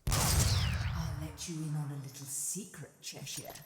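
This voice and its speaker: A woman's voice: low-pitched, steady tone, unhurried. A woman playing a sultry, self-assured witch in a video game, coy, mocking and condescending. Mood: coy teasing. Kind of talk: theatrical video game voice acting. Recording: echoey game audio with clear noise.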